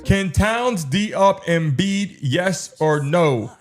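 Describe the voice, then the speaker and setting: A man with a fairly high voice hosts a live sports stream. He is hyped and slangy, repeating himself as he works the chat. Music plays faintly behind him. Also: expressive, takes it slowly. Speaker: livestream host. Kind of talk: sports watch-along livestream chatter.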